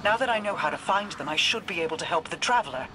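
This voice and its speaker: A woman's voice: lowish voice, expressive, fast talker, lightly acted. A woman playing a solemn, imperious otherworldly queen in a game, speaking in a regal, commanding way. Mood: resolved. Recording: very noisy game effects behind.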